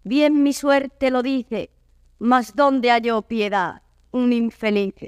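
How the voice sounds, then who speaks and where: High-voiced troubled noblewoman traveller. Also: clear, resonant tone, no room sound, highs cut off. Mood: indignant despair. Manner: grand, theatrical, measured declamation. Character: proud, troubled noblewoman traveller lost in the wilds. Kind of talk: classical Spanish verse drama, declaimed.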